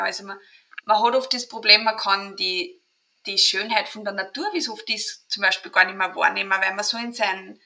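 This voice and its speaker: Austrian-accented woman, interview guest, bright voice, chatty, somewhat high. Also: vocal fry. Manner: chatty, restarts, dialect words like "mei". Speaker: guest, mental trainer. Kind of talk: interview conversation.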